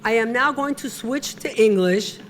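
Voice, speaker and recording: A middle-aged woman presenting an award at a gala, giving a slow, deliberate, emotional tribute speech at a podium microphone. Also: a little high, slight background noise.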